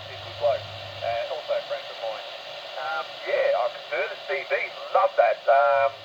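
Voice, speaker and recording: An Australian man chatting casually as an amateur radio operator over a two-way radio, in a laid-back, hesitant, rambling way. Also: a little high. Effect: two-way radio transmission. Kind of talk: casual radio contact chat. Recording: muffled, noisy shortwave radio reception.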